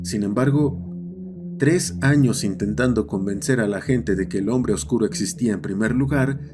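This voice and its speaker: A man's voice: on the low side, normal speed, music in the background. A man narrating a scripted horror story. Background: ambient sound effects.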